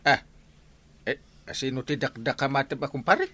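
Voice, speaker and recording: A man's voice: mid-range voice, animated, normal speed, a bit bright, no echo. A man with an Ilocano accent playing a defensive, forgetful henpecked husband in a village comedy drama.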